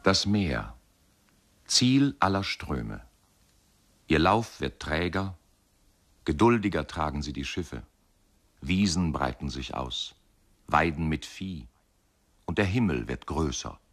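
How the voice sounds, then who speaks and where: An actor as a grave, contemplative narrator of a lyrical radio play. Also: male voice, very deep voice, animated, slow, long pauses, a bit bright, full character voice.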